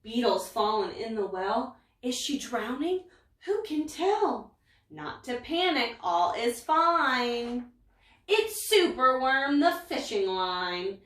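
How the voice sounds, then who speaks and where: A woman reads a children's rhyming story aloud with dramatic emphasis. Her voice is fairly high and takes its time, recorded in a roomy space a bit back from the mic. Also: sing-song, slow, fairly bright tone, far from the mic. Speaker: story reader. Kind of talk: expressive read-aloud of a rhyming picture book. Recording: echoey room, away from mic.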